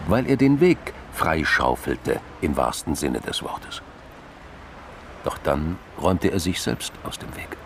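A man's voice: low voice, vocal fry, slight background noise. A man reading scripted narration in a crime radio play.

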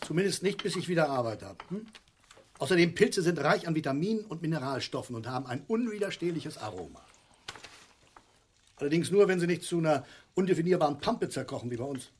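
A man's voice: fairly high pitch, lively intonation, brisk, a little mellow, slight background noise, natural voice. A man playing a pompous, nagging middle-aged father, lecturing sarcastically in a radio play. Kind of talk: naturalistic German radio play acting.

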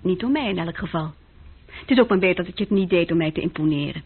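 A woman's voice: lowish voice, animated, fast, husky. An actress playing a direct, probing woman in conversation, in radio-drama style. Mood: probing, frank. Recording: dull, lo-fi old radio recording.

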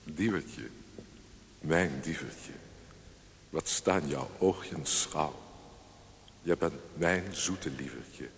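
Romantic young male lead, very low voice, soft and coaxing, faint hiss of old radio drama. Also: very slow, gravelly, long pauses, heavily acted. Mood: tender. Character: tender young male suitor, painter and poet, courting. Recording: hissy, noisy old radio recording.

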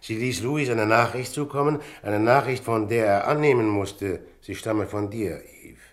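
A man playing a composed, shrewd investigator who speaks in a measured, matter-of-fact way in a German radio play. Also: on the low side, lightly acted. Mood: calm, matter-of-fact. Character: composed, shrewd male investigator, friend of the inspector.

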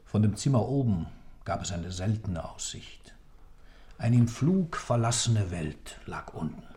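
A man playing a composed schemer who recounts events in a radio play, measured and deliberate. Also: fairly low pitch, very animated, mellow.

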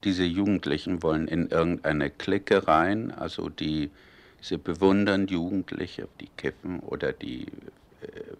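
A man with a low voice conducts an interview. He speaks very slowly and thoughtfully, measuring his phrases as he builds his question.

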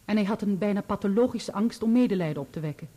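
A composed, loyal woman on the witness stand as an actress plays her. Her voice is soft-edged and mellow, and she answers in measured, formal sentences, in radio drama style. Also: medium pitch, natural voice. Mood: wistful, devoted. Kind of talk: Dutch radio play acting.

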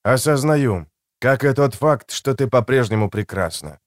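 A weary older man acted by a male reader speaks at a medium pitch, reserved and grave. His words are terse and subdued, weighed down by guilt. The audiobook recording is close and dry. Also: animated, measured pace, no room sound, close to an everyday voice. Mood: wry, affectionate. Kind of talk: audiobook character dialogue.

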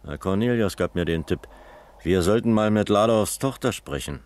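Man acting a deep-voiced, terse police investigator. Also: animated, normal speed, darkish tone, natural voice. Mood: reporting. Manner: terse, businesslike questioning. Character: sober, methodical male police investigator. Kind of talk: German radio crime play acting.

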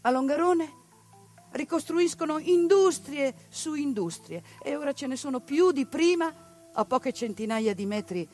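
A woman actress performing a theatrical monologue, narrating with passion and dramatic swings between hushed and loud delivery. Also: high-pitched, expressive, measured pace, soft background music. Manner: impassioned, dramatic swings in loudness. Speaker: stage actress. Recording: radio broadcast of a stage piece.

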